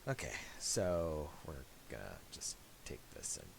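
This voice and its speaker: A man with a low voice talks through a software tutorial in a casual, halting way, thinking aloud. His intonation swings widely over a clearly hissy home recording with clicks. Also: big pitch swings.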